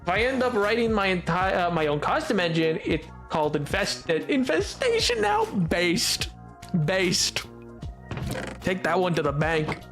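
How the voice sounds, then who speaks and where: A man's voice: high voice, very animated, unhurried, a bit bright, soft background music. A man streaming and chatting casually with viewers. He talks playfully, rambling with lots of fillers and restarts. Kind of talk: casual live-stream chat, answering viewers.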